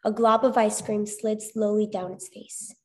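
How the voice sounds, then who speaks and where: A young adult woman reading a children's story aloud as expressive scripted narration. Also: medium pitch, measured pace.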